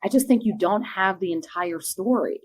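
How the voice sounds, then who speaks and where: A woman's voice: mid-range voice, normal speed, a little mellow, natural voice. A woman acting as an assertive, protective small-town mother, pointed and persuasive, in a scripted podcast drama. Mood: condescending, firm.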